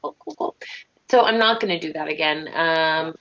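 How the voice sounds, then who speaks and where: A woman's voice: low-pitched, moderate pace, vocal fry, fairly bright tone. A woman chatting casually and self-deprecatingly as a player in an online tabletop game, on slightly lo-fi audio.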